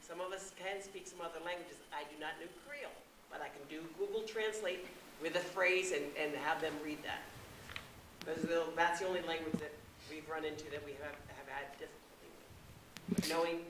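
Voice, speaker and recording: A cafeteria support staff member speaking up at a school board meeting in a loud, plainspoken way, away from the microphone. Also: medium pitch, a little hiss or hum. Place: meeting room. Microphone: no microphone, away from the mic. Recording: roomy sound from across the room.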